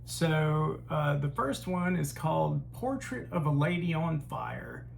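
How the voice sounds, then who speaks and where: A man hosting a casual film collection review, talking haltingly with frequent pauses and fillers. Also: a little high, expressive, gravelly, a little hiss or hum. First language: English. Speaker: host.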